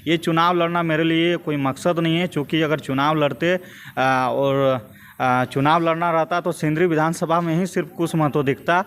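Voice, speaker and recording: A young man with a Jharkhand Hindi accent answering as a political activist in an interview, in a measured, hesitant way with repeated phrases. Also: a little high, flat delivery, a bit bright, smooth. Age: young adult.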